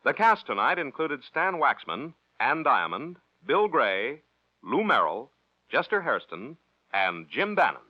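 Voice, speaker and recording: A man's voice: medium pitch, very animated, unhurried. A man announcing in scripted 1940s American network radio style, as a program announcer. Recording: dull vintage radio recording.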